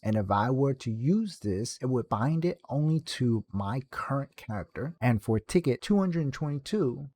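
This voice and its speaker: A man's voice: medium pitch, warm tone. A man hosting a video game guide, narrating in a casual tutorial style.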